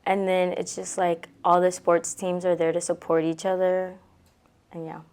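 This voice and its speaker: Young female athlete, low and even delivery, casual interview answers. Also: moderate pace, clear, resonant tone. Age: young adult. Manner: casual, many likes. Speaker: college softball player.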